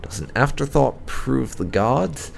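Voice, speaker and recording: A man reading fantasy game lore aloud in a measured, theatrical way with pauses. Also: medium pitch, lively intonation, gravelly, a little hiss or hum. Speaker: gameplay channel host.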